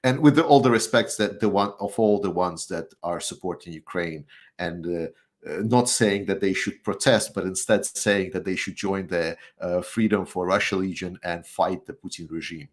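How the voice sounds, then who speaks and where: Low-voiced man rambling with restarts. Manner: rambling, halting, many restarts and fillers; gets heated. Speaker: guest. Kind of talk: casual chat.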